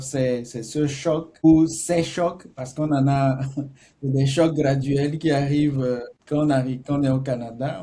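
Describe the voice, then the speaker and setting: Mellow-voiced male podcast host. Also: mid-range voice, slight background noise. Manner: warmly engaged, hesitant phrasing with restarts. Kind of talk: interview questions.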